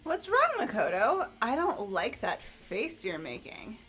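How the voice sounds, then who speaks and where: Woman voicing an excitable high-pitched high school girl. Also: measured pace, soft background music, full character voice. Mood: concerned. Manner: bubbly, exclamatory. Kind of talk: fan voice acting of a video game.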